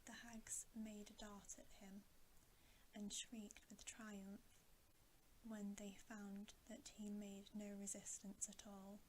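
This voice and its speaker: A woman whispering a bedtime story in a hushed, gentle, deliberate ASMR reading. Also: medium pitch, completely flat delivery, a little hiss or hum, low-quality recording. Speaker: ASMR reader.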